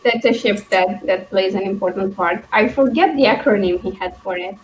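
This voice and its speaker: A woman in a casual book discussion, thinking aloud in a hesitant, halting way as she hosts. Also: mid-range voice, muffled recording, a little hiss or hum. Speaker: book club host.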